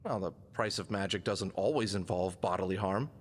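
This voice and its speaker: A man's voice: low voice, moderate pace, husky. A man playing a confident, wisecracking fox adventurer in an audio drama, quippy and self-assured in his delivery. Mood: explaining. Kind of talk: scripted audio drama dialogue. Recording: long hall-like reverb.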